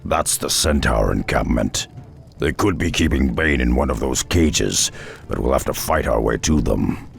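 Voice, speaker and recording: A man voicing a gruff, steady half-orc warrior hero in a video game, terse and resolute, with a gravelly voice. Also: a little slow, faint music behind, heavily acted. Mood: grave, urgent. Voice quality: very deep, gravelly. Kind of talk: video game cutscene acting.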